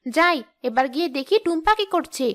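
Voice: very high-pitched, lively intonation, fairly quick, fairly bright tone. A performer voicing a stern, proud female ghost schoolteacher who lectures and scolds in a children's cartoon. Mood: nostalgic.

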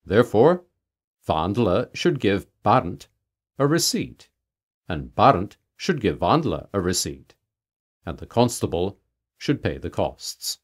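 A man reading a literary tale aloud as a narrator, in a measured, formal storytelling manner. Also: on the low side, big pitch swings, slightly rough. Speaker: audiobook narrator.

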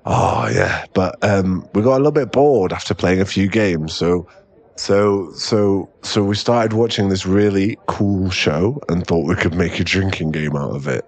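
A man acting a shy, stammering young boyfriend in an ASMR roleplay audio drama. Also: deep voice. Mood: tipsy, playful. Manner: halting, stammering, repeats words. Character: young male millionaire boyfriend, shy, later tipsy and clingy.

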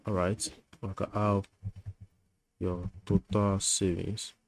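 A man's voice: deep voice, little pitch movement, slow, no echo. A man giving a screen-recorded coding tutorial, thinking aloud as he types, with fillers and self-corrections.

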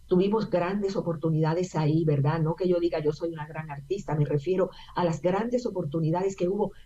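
A woman's voice: on the low side, warm tone, husky. An older woman from Puerto Rico with a Puerto Rican Spanish accent, an actress and singer chatting as a guest, telling her story with lots of fillers. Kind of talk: casual interview talk. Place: home room via video call. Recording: dull lo-fi video call audio.

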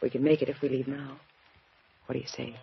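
A woman's voice: rumbling low, little pitch movement, brisk, gravelly, husky, full character voice. An actress playing an anxious, guarded woman who pleads for help in a 1940s radio drama. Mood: tense, pleading. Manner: urgent, pleading. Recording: dull old radio broadcast with music.

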